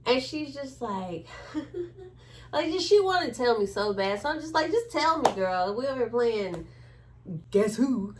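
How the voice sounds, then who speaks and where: A woman's voice: fairly high pitch, measured pace, noisy background. A woman telling a story in a casual chat, acting out the quoted dialogue as she goes. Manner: animated retelling with acted-out quotes.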